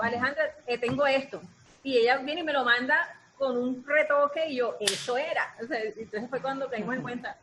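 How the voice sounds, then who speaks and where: A woman with a Colombian Caribbean accent chatting informally and expressively in an interview. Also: on the high side. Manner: expressive, informal, acts out quotes. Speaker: writer in an arts collective. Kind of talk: casual interview chat. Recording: noisy background ambience.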